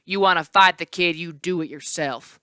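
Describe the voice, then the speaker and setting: A woman voicing a weary, gruff male cowherd who grumbles slowly and flatly. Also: lowish voice, no room sound, clean studio sound. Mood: grumbling. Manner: flat grumbling, slow.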